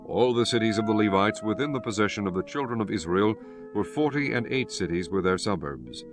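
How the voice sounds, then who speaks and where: Low-voiced male scripture narrator over music. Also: fairly bright tone.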